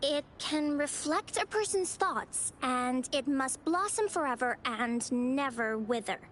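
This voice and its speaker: A woman voicing a sweet, earnest young girl in video game story dialogue, gentle and thoughtful. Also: high voice, lively intonation, unhurried, slight background noise.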